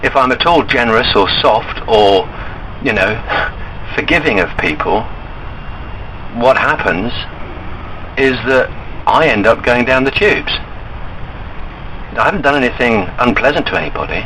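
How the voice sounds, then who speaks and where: A man calling an enquiry line and arguing his points in an earnest, insistent, halting way. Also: medium pitch, animated, bright, forceful tone. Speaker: caller to a bank enquiry line. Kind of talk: argumentative phone discussion. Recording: recorded phone call with background noise.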